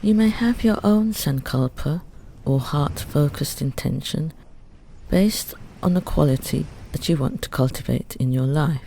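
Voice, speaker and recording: A meditation guide speaks very slowly in a mellow, relaxed voice, leaving long pauses between short phrases. The delivery is gentle and soothing, leading a restful body relaxation, with ocean waves washing softly behind the voice. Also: medium pitch, gravelly. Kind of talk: guided Yoga Nidra meditation narration. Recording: steady ambient noise under the voice.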